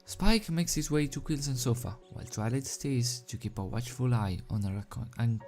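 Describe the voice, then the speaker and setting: A man's voice: mid-range voice, moderate pace, a little mellow. A man reading fan fiction aloud as an amateur storybook narrator. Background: soundtrack music.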